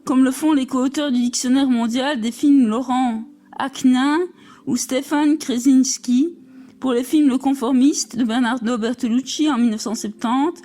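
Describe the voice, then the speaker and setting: A woman reading a scholarly text aloud in a formal, measured way. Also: high voice, dark tone. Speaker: reader of an academic text.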